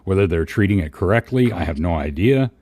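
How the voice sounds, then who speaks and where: Low-voiced, profane man chatting. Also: animated, brisk, darkish tone, dry recording, studio quality. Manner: blunt, profane, rambling. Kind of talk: casual opinionated livestream chat.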